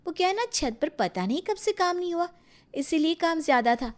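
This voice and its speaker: A woman voicing an innocent, dutiful younger daughter-in-law, earnest and a little plaintive, in an animated family story. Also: very high voice, brisk. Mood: earnest, explaining.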